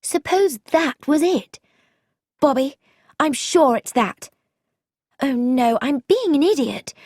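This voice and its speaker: A woman reading a story, voicing an eager, quick-witted young woman sleuth in a higher, livelier voice. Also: no room sound, studio quality. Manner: excited, insistent, animated.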